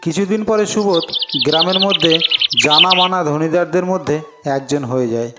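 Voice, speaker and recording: A man's voice: on the high side, moderate pace, over loud music. A man narrating a scripted cartoon story as its storyteller.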